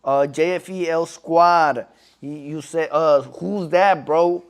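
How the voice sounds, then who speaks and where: Slangy young man streaming, higher voice. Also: fairly even intonation, takes it slowly, clear, resonant tone. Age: 20s. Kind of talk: casual live-stream chat.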